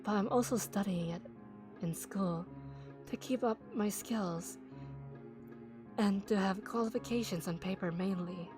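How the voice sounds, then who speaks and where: Polite blind teen girl, soft and composed; woman voices her over light background music. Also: medium pitch, close to an everyday voice. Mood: gentle. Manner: soft, quiet, measured reading. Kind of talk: visual novel dialogue read aloud.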